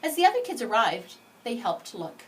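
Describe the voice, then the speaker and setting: A woman reading a children's storybook aloud in an expressive narration, with deliberate phrasing and strong emphasis. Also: on the high side. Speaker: storybook reader. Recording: slightly distant mic, faint background noise.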